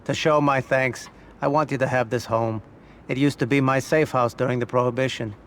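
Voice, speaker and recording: A man playing a calculating older crime boss in a video game, speaking in a measured, understated, authoritative way. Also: mid-range voice, steady tone, a bit bright, slight background noise, natural voice. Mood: calm, advising. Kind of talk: video game cutscene acting.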